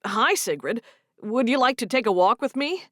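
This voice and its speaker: A woman's voice: on the high side, animated, normal speed, a bit bright, no echo, clean studio sound, voice pushed up. A woman reading a romance audiobook, voicing a young man who is wounded and earnest as he confronts his girlfriend. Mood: friendly.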